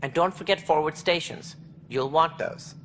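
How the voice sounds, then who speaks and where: An actor playing an officious alien station director in a video game, speaking precisely and formally with a wry, earnest streak. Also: male voice, on the high side, normal speed, fairly bright tone, slight background noise, close to an everyday voice. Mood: wry, advisory. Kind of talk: video game dialogue acting.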